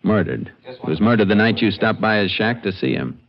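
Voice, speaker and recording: An actor playing a calm, methodical Texas Ranger in a 1950s western radio drama, questioning and narrating in a terse, matter-of-fact way. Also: male voice, on the low side, brisk. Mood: calm, probing. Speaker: lead investigator and narrator. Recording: noisy, muffled old radio recording.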